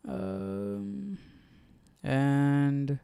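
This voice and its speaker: Male livestream study host: smooth, clear, mid-pitched, casual, questioning, very dry sound. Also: extremely slow, warm tone, dry recording. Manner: questioning, thinking aloud. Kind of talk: casual commentary and reading aloud.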